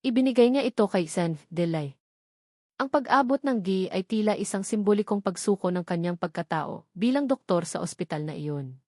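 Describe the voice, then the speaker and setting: A woman's voice: mid-range voice, lively intonation, moderate pace, darkish tone, no echo, clean studio sound. A woman with a Filipino accent reads a scripted love story as a dramatic narrator.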